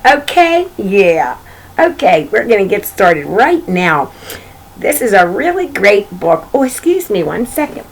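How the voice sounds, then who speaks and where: A woman reads a picture book aloud for young children in a very animated, swooping voice at a middle pitch. She talks straight to her listeners, drifting into chatty asides and repeating little phrases as she goes. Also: a little slow, a little hiss or hum. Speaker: children's story reader and host.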